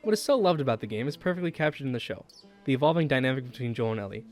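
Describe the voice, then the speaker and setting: A man's voice: mid-range voice, expressive, very fast, darkish tone. Young man narrating, music behind. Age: young adult. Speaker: student video essayist. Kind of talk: scripted video essay narration.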